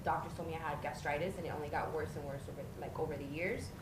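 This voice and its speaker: A woman's voice: deep voice, fairly even intonation, racing. Candid woman in her thirties, echoey room. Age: 30s. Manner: candid, matter-of-fact storytelling. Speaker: podcast guest. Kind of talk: casual podcast chat. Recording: echoey room with noticeable background noise.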